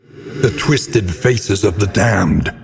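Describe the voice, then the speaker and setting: Husky, brooding gothic horror narrator. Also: male voice, lowish voice, exaggerated voice. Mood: ominous. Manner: solemn, ominous, theatrical, measured delivery. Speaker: game narrator. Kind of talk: scripted dramatic game narration. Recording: long cavernous reverb.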